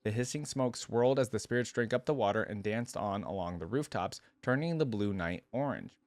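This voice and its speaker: Man, lowish voice, casual reaction host, reading aloud, musing side comments, dry close sound. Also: a little fast, a little mellow, no room sound. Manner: casual, musing, hesitant asides.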